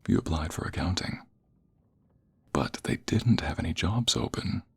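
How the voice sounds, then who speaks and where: A man playing a smug, flirtatious office rival in an intimate ASMR roleplay, taunting in a deep, breathy, gravelly near-whisper. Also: lively intonation, full character voice. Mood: mocking. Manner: slow, deliberate, taunting, drawn-out phrases. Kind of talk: ASMR romantic roleplay, intimate second-person acting.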